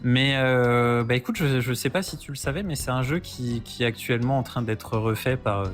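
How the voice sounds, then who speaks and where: Guy on a gaming podcast, normal pitch, explaining stuff keenly, bit of music behind. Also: moderate pace. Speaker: podcast guest. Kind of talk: casual podcast chat about retro video games.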